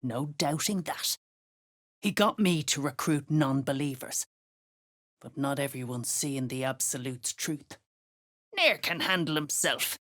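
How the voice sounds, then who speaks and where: A woman playing a gruff, foul-mouthed female duergar overseer in a video game, curt and contemptuous. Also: deep voice, unhurried, a bit bright. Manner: curt, cursing, contemptuous slang. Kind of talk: video game character dialogue.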